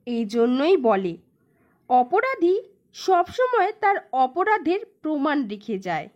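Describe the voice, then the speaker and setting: A woman narrating a cartoon moral story in a slow, expressive storytelling manner. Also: high-pitched. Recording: dull lo-fi narration track.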